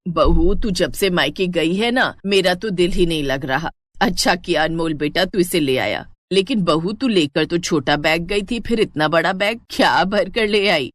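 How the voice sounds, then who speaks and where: A woman's voice: on the low side, animated, no echo, clean studio sound, voice pushed down. A woman voicing a kindly older mother-in-law in a family story, affectionate and motherly. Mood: fond. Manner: slow, motherly.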